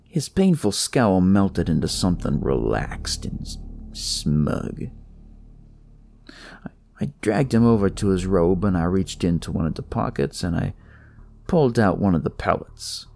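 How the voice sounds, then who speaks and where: A man's voice: deep voice, expressive, measured pace, creaky, a little mellow. A man narrating a first-person noir horror story in a dramatic storytelling style.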